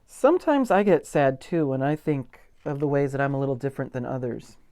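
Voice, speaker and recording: A man reading a picture book aloud as a pastor at storytime, with gentle expression and simple asides for young children. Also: medium pitch, fairly quick. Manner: gentle, expressive, stretches key words for emphasis.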